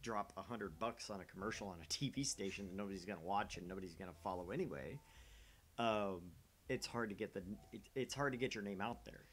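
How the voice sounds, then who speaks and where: A man's voice: on the low side, quick pace, fairly bright tone, slight background noise. A man hosting a small gaming channel's livestream, rambling casually and conversationally, with a self-deprecating way of talking.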